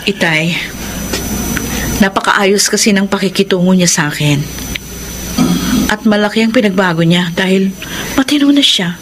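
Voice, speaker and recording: A woman's voice: medium pitch, moderate pace, pauses often, noisy background, natural voice. A woman acting in a Filipino radio drama as a sorrowful, resigned wife and mother, speaking plaintively. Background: loud music.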